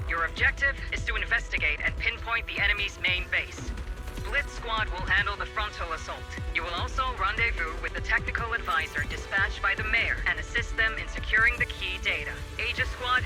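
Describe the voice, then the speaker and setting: A man's voice: sits high, close to an everyday voice. A man playing a stern, resolute military commander in a game, speaking in a formal, commanding manner. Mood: rousing, commanding. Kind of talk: dramatic game mission briefing.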